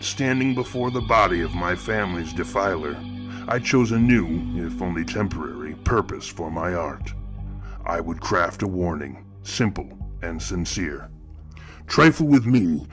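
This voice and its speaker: A low, deep voice as a sinister artist-murderer telling his own horror tale. He is pompous and florid, weighing his phrases with theatrical menace. Prominent music plays behind the narration. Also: a bit bright. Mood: cold menace. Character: grandiose, sinister male killer who sees murder as art. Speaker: narrator of a horror fiction podcast. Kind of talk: scripted first-person horror narration, theatrical.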